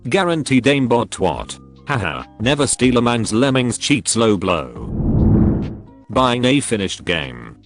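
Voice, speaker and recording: A man narrating forum posts aloud in a steady, even reading voice. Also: lowish voice. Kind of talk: scripted narration. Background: faint background music.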